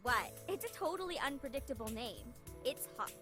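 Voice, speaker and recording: Brash teen schoolgirl as a woman plays her, high, lively pitch, cheeky game dialogue with music behind. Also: normal speed. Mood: insistent. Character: brash, sporty, slangy teenage schoolgirl, playfully stubborn. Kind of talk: scripted visual novel dialogue.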